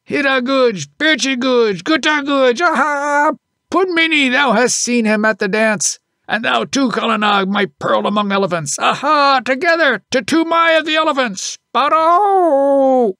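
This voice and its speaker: A man's voice: very high voice, unhurried, bright, forceful tone, no room sound, clean studio sound, voice pushed up, exaggerated voice. A man voicing a proud veteran head elephant driver, declaiming exultantly in an audiobook story.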